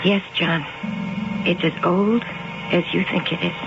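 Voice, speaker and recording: A woman acting in a radio drama as an intense, imperious and mysterious figure who claims to be a cat goddess, speaking solemnly and deliberately. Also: on the low side, fairly even intonation, vocal fry, over music. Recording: dull lo-fi 1980 radio broadcast.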